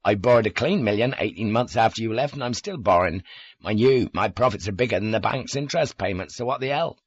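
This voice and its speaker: A man's voice: fairly low pitch, fast, slightly rough, no room sound, highs cut off. A man reading an audiobook as a blunt, sweary, hearty Devon man who is dismissive of everything, with a Devon accent. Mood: breezy boasting. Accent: Devon English.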